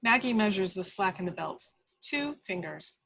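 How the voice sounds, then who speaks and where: A woman's voice: medium pitch, expressive, moderate pace, creaky, fairly bright tone. A woman reading stage directions as the narrator of a script table read, in a dramatic storytelling style.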